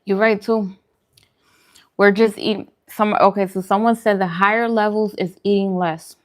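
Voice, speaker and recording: A woman vlogger chatting casually while eating, talking in an informal, musing, conversational way. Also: medium pitch. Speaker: vegan food vlogger.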